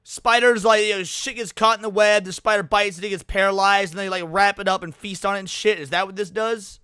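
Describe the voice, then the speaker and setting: High bright-voiced man, casual and sweary. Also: steady tone, fairly quick, smooth, no room sound, clean studio sound. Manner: slangy, swearing. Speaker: livestreamer reacting to a horror video. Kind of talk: casual reaction commentary.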